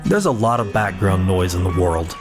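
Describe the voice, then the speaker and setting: A man hosting a podcast, reading a measured, poetic introduction in rhythmic lists, then casually asking his guest questions. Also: low voice, lively intonation, music in the background. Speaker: podcast host. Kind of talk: scripted podcast intro and interview.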